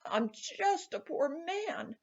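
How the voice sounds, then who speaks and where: A woman voicing a sly, cross little old leprechaun man in a storybook, with a sharp, wheedling manner. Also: extremely high pitch, big pitch swings, slow, highs cut off, voice pushed up, exaggerated voice. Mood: whining. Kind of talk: storybook character voice.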